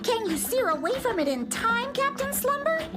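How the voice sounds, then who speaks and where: An excitable young girl first mate in a children's radio play. Her voice is very high and lively, and loud music plays behind it. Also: moderate pace. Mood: alarmed. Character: eager girl first mate of a flying dream ship.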